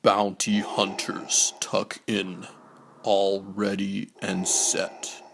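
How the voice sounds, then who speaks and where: Low gravelly guy reading bedtime story, super slow n hushed, bit of background noise. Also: completely flat delivery. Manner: hushed, measured rhyming delivery. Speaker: storybook narrator. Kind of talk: bedtime story read-aloud.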